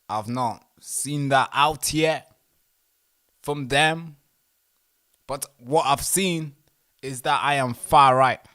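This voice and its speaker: A man hosting a casual livestream, talking in an animated, indignant way with mocking asides. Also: medium pitch. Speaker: livestream host.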